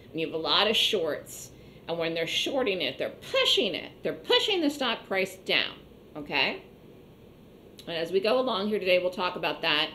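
A woman with a slightly hoarse voice teaching an online trading class, explaining step by step and repeating points conversationally. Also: lowish voice, sharp tone, a little hiss or hum. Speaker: trading instructor. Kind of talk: online trading webinar lecture.

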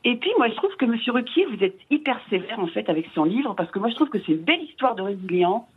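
A man's voice: high voice, animated, fast, breathy edge, phone-quality sound, voice pushed down. A man voicing an earnest, prim middle-aged female caller.

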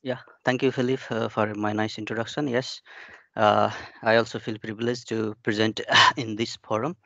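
A man's voice: lowish voice, flat delivery, normal speed, gravelly, pushed and bright, dry recording. A young man from Nepal giving a webinar talk on his research, hesitant with many fillers and restarts. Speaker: PhD researcher presenting. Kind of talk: webinar presentation. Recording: muffled dry video call audio.

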